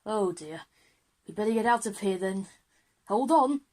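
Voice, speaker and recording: A woman's voice: medium pitch, animated, unhurried, darkish tone. A woman voicing an eager, unflappable teacher with an explanatory manner, leading a time-travel lesson in a children's audio drama. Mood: flustered.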